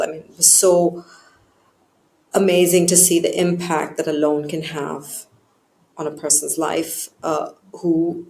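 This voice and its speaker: A woman's voice: low voice, vocal fry, darkish tone. A woman from southern India, explaining her work and career articulately in a conversational interview. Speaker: charity chief executive, interviewee. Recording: roomy, echoing sound.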